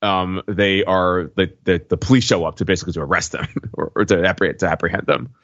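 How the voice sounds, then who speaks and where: A man's voice: low-pitched, fast talker, a bit bright, no room sound, highs cut off. A man hosting a podcast, speaking casually in a back-and-forth discussion of a TV show. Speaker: podcast host.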